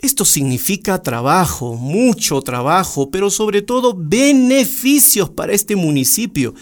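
A man's voice: high-pitched, animated, unhurried. A man playing an ingratiating, corrupt mining company salesman in a radio drama, unctuous and emphatic. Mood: boastful. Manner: unctuous, emphatic, repeats words for effect.

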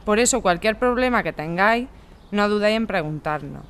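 A woman playing a calm, practical veteran housing activist in a radio drama, explaining things in an organised way. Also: mid-range voice, a little fast, clean tone, close to an everyday voice. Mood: calm, helpful. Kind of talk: amateur radio drama acting.

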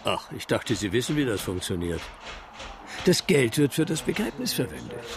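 An actor playing a worldly-wise, matter-of-fact official in a radio crime drama, explaining things in a wry manner. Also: male voice, medium pitch, big pitch swings, normal speed, noisy background.

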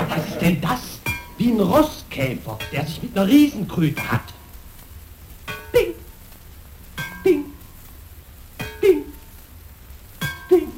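A man's voice: sits high, sing-song, drawn-out words, long pauses, heavily acted. An actor playing a merry, teasing male villager in theatrical radio play style. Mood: amused. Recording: echoey, loud music behind.

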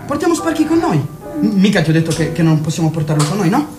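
A woman's voice: fairly low pitch, fast, over music. A woman voicing a restless teenage son in a radio play, bitter toward his mother and coaxing with his sister. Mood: gentle coaxing. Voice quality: expressive, pleading and mocking. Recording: roomy echo.

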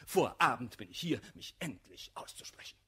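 An actor playing a jealous, hot-tempered, lovelorn gentleman in a classical verse radio comedy, declaiming with impassioned, volatile delivery. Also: mid-range voice, sing-song, moderate pace, in a whisper, natural voice. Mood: resolute.

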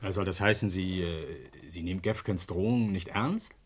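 Polite, lowish-voiced police deputy. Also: male voice, moderate pace. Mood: tentative, questioning. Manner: polite, tentative. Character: deferential, keen male police deputy. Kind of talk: German radio crime drama acting. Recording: faint noise, old radio play recording.

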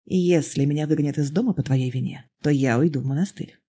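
Storybook role: narrator plays sarcastic, exasperated man, clipped and dry, dark husky tone. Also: mid-range voice, a little fast, natural voice. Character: grumpy, aloof, sarcastic man, exasperated guardian.

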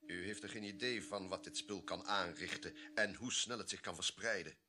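An actor playing an imperious, ruthless army colonel in a radio play, speaking in a curt, formal, commanding way. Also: male voice, sits low, moderate pace, natural voice. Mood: urgent, explaining. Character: imperious, ruthless male army colonel guarding a deadly secret. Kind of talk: Dutch radio play acting.

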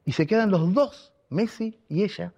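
A man's voice: high-pitched, moderate pace, a little mellow, dull audio. A man from Argentina with an Argentine accent, telling a personal story in an emotional, emphatic way, repeating words for weight. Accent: Argentine Spanish. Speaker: radio contributor and writer.

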